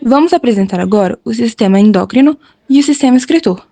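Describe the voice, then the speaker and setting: A woman's voice: a little high, animated, fast, warm tone. A woman with a Brazilian Portuguese accent, a student reading a school presentation and interview questions. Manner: reads from a script, plain and careful.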